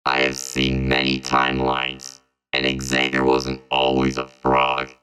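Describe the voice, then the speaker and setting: A man playing a calm time-traveling robot in an audio drama, speaking in measured phrases through a synthetic voice effect. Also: loud music behind the voice, rough recording. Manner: measured, even, explanatory delivery. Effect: very deep synthetic robot voice processing. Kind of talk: hobby audio drama acting.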